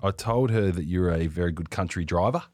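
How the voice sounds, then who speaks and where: A man's voice: sits low, fairly quick, darkish tone, no echo. A man in his late thirties with an Australian accent, bantering casually as a podcast co-host, slangy, hesitant and self-mocking. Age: late 30s.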